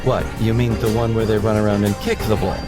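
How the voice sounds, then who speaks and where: A man's voice: lowish voice, fairly even intonation, fast talker, lightly acted. A man playing a sleazy airline passenger who flirts smugly with the flight attendant. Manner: smug, leering. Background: music.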